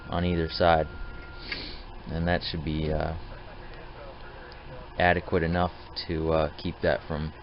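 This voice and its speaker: A man giving a casual, plainspoken how-to narration of his own DIY work, talking to viewers informally. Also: low-pitched. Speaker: DIY truck restorer hosting his build videos. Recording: dull lo-fi camera audio, light noise.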